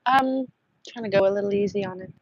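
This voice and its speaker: Rambling host with a sore throat. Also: mid-range voice. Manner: rambling and self-deprecating, with halting fillers. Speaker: host of a live book-club chat. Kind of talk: casual livestream chat. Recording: dull lo-fi sound with a fan humming.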